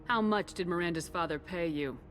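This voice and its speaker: An actress voicing a steady, probing woman commander in a video game, speaking in a measured, direct way. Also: lowish voice, faint music behind, close to an everyday voice. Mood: firm, direct.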